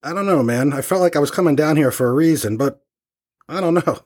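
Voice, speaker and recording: An actor playing an absent-minded, laid-back young rock musician with a casual delivery in a horror audio drama. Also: male voice, a little high, fairly quick, darkish tone, lightly acted. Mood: casual, puzzled.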